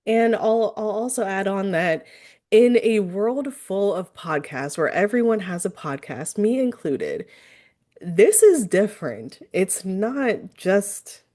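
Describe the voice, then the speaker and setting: A woman's voice: mid-range voice, very animated, slow pace, warm tone. A woman hosting a podcast, interviewing a guest in a casual, enthusiastic and affirming way.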